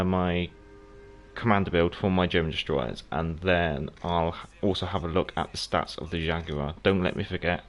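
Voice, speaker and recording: Low-voiced south african guy streaming a game, chatty, answering his chat by name. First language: Afrikaans. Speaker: gaming livestream host. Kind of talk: casual livestream commentary while playing, answering chat.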